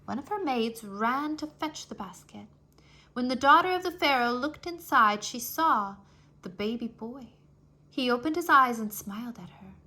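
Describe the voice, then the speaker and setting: A woman reading a children's history story aloud in an expressive, deliberate, scripted storytelling manner. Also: fairly high pitch. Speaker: storybook reader.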